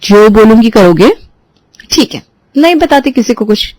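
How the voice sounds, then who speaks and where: A woman voicing a loud, sharp young bride. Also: a little high, animated, normal speed, no echo, natural voice. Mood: sly, bossy. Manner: indignant, sly teasing. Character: young new bride, bold and sharp-tongued.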